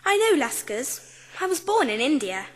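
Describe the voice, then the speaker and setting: Casting: gentle British schoolgirl of seven, light high voice, animated, polite and earnest, for dramatised storybook. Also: moderate pace, big-room sound. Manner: well-spoken, sweet, earnest. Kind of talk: dramatised audiobook acting.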